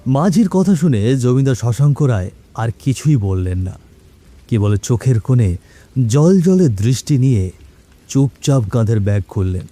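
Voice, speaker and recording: Very dark-toned man narrating horror. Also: medium pitch, big pitch swings, normal speed. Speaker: storyteller. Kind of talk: scripted horror story narration.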